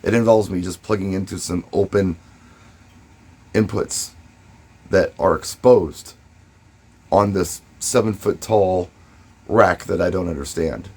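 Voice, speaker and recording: A man with a fairly low, noticeably husky voice talks in a casual vlog style. He shares advice and anecdotes conversationally, leaning on key words and pausing briefly for effect. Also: a little mellow. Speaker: DJ and vlogger. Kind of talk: casual first-person advice and storytelling to camera.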